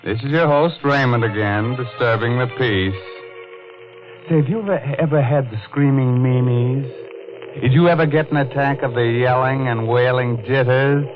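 An actor playing a ghoulishly jolly horror host, theatrical and tongue-in-cheek, in 1940s radio style. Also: male voice, mid-range voice, normal speed, close to an everyday voice. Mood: ghoulishly playful. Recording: dull old radio recording, music behind.

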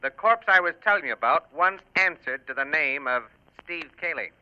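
A man acting a sardonic American homicide cop in an old radio mystery. The voice is high, brisk and matter-of-fact. The recording is muffled. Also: lively intonation, lightly acted. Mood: wry. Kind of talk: 1950s American radio crime drama acting.

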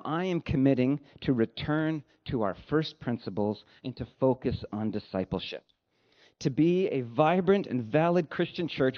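A male pastor delivers a sermon in a fairly high voice that swings widely in pitch. His phrasing is deliberate and emphatic, with a dryly humorous streak. The recording sounds slightly dull and lo-fi. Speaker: pastor preaching.

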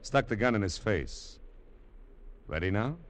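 A cold, sneering treasure thief in a 1950s radio drama. He speaks in a very low voice with a slow, swooping, mocking drawl that turns menace into taunts. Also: lively intonation. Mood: menacing.

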